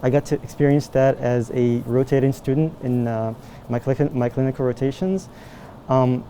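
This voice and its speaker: A man's voice: mid-range voice, dark tone, a little hiss or hum. A young man from Morocco, a medical student doing research, answering questions in a hesitant, casual way with many fillers.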